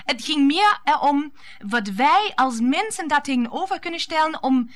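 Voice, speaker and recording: Radio play mistress: pious, naive, gushing, high-pitched with lively swoops. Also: female voice, moderate pace. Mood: earnest. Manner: gushing, earnest.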